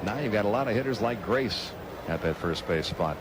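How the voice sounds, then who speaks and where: Male baseball announcer, lower register, broadcast commentary, heavy outdoor background noise throughout. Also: animated, fairly quick.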